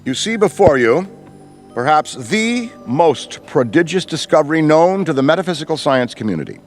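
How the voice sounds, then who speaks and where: An actor playing Ray, an enthusiastic middle-aged veteran ghostbuster who rambles in wordy, professorial speech. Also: male voice, a little high, sing-song, moderate pace, soft background music. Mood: lively. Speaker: veteran mentor. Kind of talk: video game cutscene voice acting.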